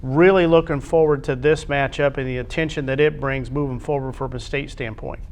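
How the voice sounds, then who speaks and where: A man's voice: medium pitch, fairly even intonation, brisk, slight background noise. An American man giving conversational sports commentary on a school athletics show, in short, emphatic phrases.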